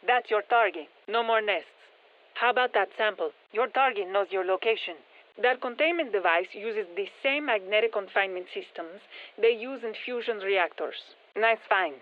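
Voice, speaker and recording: Scientist over narrow radio. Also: female voice, medium pitch, moderate pace. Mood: focused, matter-of-fact. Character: composed female scientist-engineer guiding soldiers against alien parasites. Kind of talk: scripted game mission briefing.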